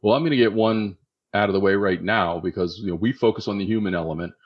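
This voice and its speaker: A middle-aged man with a Philadelphia accent speaks in a low, husky voice. He explains things in a relaxed podcast-guest way and keeps checking in with "okay?". The audio sounds a bit dull and muffled. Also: quick pace. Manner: conversational, often adds "okay?" to check understanding. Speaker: guest expert on warehouse technology.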